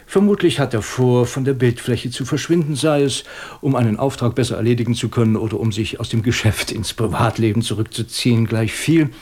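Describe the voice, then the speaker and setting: A male actor as a police inspector in a radio crime play, speaking standard German at a medium male pitch. He questions methodically and deliberately, with ironic courtesy and a shrewd edge. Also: fairly even intonation, a little mellow, husky, lightly acted. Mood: methodical, confident. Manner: probing, deliberate, ironically polite.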